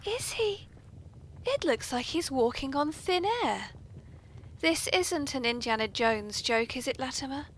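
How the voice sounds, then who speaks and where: A woman's voice: sits high, animated, a little slow. A British woman hosting a comic tea-party podcast in a polite, genteel, playfully arch manner. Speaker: podcast host. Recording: poor, noisy amateur podcast recording.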